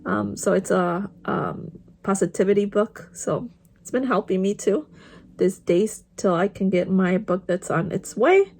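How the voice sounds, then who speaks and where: A woman's voice: medium pitch, measured pace, vocal fry, darkish tone, husky. A young woman with a Spanish accent, a native Spanish speaker, chatting casually while doing her makeup and dropping in Spanish words. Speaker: vlogger. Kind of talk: casual get-ready-with-me chat.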